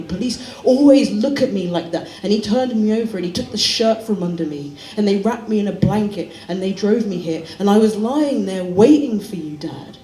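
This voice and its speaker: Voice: medium pitch, mellow, a little hiss or hum, lightly acted. A poet voicing a dead young son who pleads with his father, repeating his call to him. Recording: roomy hall, mic far off.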